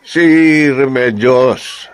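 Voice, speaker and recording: Humble, hesitant Filipino farmhand. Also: male voice, mid-range voice, steady tone, extremely slow, some chatter behind. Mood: shy, concerned. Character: devoted, humble orphaned farmhand, quietly caring friend. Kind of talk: Filipino radio drama acting.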